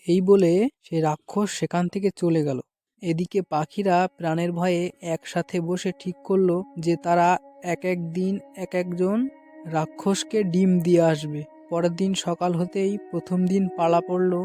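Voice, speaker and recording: High-pitched man narrating a cartoon story. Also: steady tone, moderate pace, warm tone, faint music behind.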